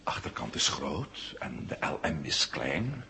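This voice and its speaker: A noisy old radio recording of a guarded science-fiction hero. He speaks tersely in a very deep voice with lively intonation. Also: a little slow, gravelly, exaggerated voice. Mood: subdued, compliant. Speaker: radio drama actor. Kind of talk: 1970 Dutch radio play acting.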